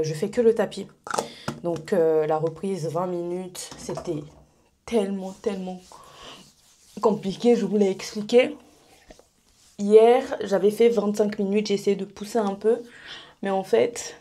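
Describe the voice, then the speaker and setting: A woman's voice: fairly low pitch, mellow. A young adult woman vlogging casually to camera, rambling with fillers and restarts. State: stuffy from pollen allergy. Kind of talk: casual vlog chat to camera. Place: bedroom at home, then small residence gym.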